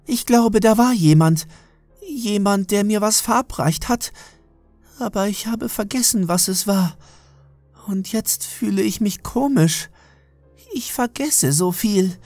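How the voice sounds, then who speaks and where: Horror audiobook role: male narrator as imperious elderly woman patient, complaining and forgetful, pitched far up, music underneath. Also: slow, a little mellow, no echo. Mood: confused, anxious. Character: haughty, forgetful, demanding fat old lady patient.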